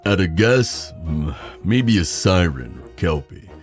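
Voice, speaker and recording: A man's voice: deep voice, very animated, slow pace, soft background music, full character voice. A man playing a bookish, self-assured ghost-tech expert with precise phrasing in an audio drama. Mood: dryly confident.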